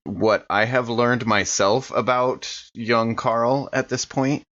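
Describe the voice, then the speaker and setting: A man's voice: medium pitch, steady tone, slow, a bit bright, highs cut off. A man chatting casually as a player in a tabletop roleplaying game.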